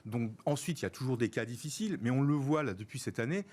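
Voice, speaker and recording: Guest, man in his fifties, from France, measured and explanatory, mid-pitched voice. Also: animated, a little mellow. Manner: measured, explanatory, cites figures. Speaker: guest, network organizer. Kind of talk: radio interview answers.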